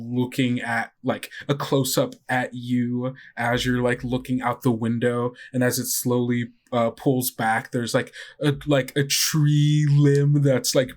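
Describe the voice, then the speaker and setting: Conversational game master narrating a scene. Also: medium pitch. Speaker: game master and podcast host. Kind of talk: improvised narration of a tabletop roleplaying game.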